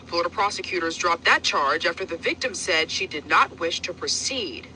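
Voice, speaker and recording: A male news reporter reading a scripted TV news report in a crisp, measured delivery. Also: a little high, animated, bright, forceful tone. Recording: noisy played-back news clip.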